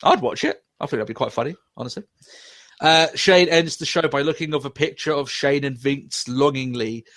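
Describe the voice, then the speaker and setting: A man's voice: mid-range voice, moderate pace, fairly bright tone, no room sound, studio quality. A young man hosting a podcast, reviewing wrestling in casual, profane chat with frequent laughs. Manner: profane, laughs often, rambling. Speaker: podcast host.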